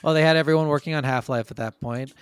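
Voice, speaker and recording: A male podcast host with a slightly high voice, recorded dry and close. He tells stories casually from notes, leaning hard on his points and swearing freely. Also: quick pace, clean tone, no room sound. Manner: sweary, emphatic storytelling.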